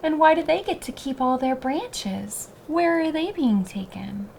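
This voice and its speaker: Storybook role: restless young fir tree, wistful and questioning, high and unhurried, acted by a woman. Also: lively intonation, vocal fry, darkish tone, slight background noise, heavily acted. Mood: eager, curious. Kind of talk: children's storybook character voice.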